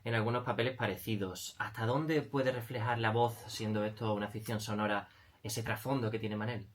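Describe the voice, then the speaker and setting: A man with a fairly low voice and an accent from Spain co-hosts an interview. He delivers prepared questions in a conversational manner. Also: fairly even intonation, a little fast. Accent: Spanish from Spain. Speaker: radio show co-host, interviewer.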